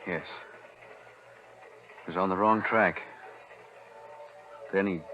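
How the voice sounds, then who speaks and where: An actor playing a sober, sincere lawyer in love in a 1940s radio thriller. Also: male voice, low voice, fairly even intonation, slow pace. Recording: muffled old radio broadcast.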